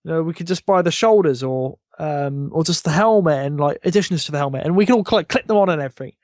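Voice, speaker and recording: A man with a fairly high-pitched voice chats casually on a gaming podcast. He is blunt and opinionated, and the sound is close and dry with no room on it. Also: quick pace, no room sound. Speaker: podcast panelist.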